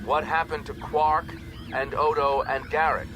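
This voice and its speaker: A man's voice: fairly high pitch, level tone, a little slow, noisy background, voice pushed up, close to an everyday voice. A man voicing a wary, strained male starship captain in an audiobook, questioning and effortful. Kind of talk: audiobook character acting.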